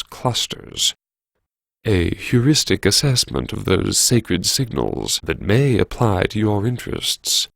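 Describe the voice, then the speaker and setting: Man playing a slow, gravelly, brooding academic. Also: lowish voice, no echo, clean studio sound, exaggerated voice. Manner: brooding, wry, halting self-address with sighs. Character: wry, haunted male university vice-chancellor musing to himself. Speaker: audiobook performer. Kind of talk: dramatic first-person interior monologue.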